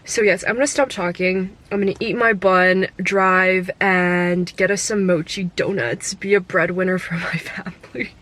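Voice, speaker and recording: A woman's voice: lowish voice, steady tone, normal speed, few pauses, fairly bright tone. A young adult woman with a Canadian accent, talking to the camera in a casual, upbeat vlog full of fillers. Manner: chatty and upbeat, many fillers. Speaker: vlogger.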